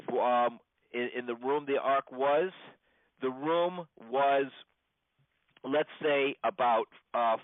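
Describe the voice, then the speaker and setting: A man, a rabbi, giving an informal religious lesson in a halting, conversational way, repeating points for emphasis. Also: medium pitch, dry recording. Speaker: rabbi teaching a class. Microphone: phone. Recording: muffled band-limited phone conference call.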